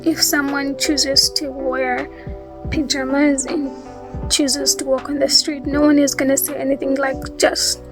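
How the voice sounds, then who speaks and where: Young Ugandan woman, high-pitched, unhurried. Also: level tone, music in the background. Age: young adult. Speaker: YouTube vlogger. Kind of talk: casual talk to viewers.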